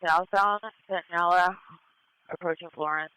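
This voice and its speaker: A male police air observer gives terse pursuit commentary over the radio. His voice is bright and clear, with a dry sound, calling out directions in short bursts. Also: high-pitched, steady tone, normal speed, dry recording, poor audio. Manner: terse, running call-outs of directions. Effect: police radio transmission.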